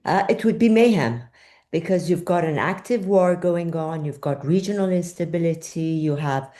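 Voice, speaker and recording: An older woman from Iran with a lowish voice speaks as an interview guest. She answers thoughtfully and often pauses on fillers while she searches for words. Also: warm tone. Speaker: journalist guest.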